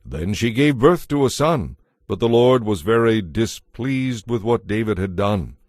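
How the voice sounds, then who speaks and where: A man narrating a dramatized audio Bible from a script, in a measured storytelling manner. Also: fairly low pitch, lively intonation, darkish tone, no room sound, studio quality.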